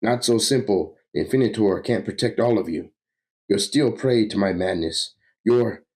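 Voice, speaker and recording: A man's voice: lowish voice, normal speed, darkish tone, voice pushed up, natural voice. A man voicing a manic, sadistic villain who gloats theatrically while reading a comic book story aloud. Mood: taunting.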